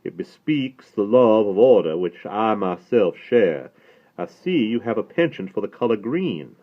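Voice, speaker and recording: A man's voice: on the low side, very animated, pitched above the performer's own voice. A man voicing a courtly Southern rabbi, phone-like sound. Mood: gracious praise. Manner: deliberate, soft-spoken, grandly formal. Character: courtly, learned, severe-looking but warm Southern rabbi. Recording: thin, phone-like narrow band.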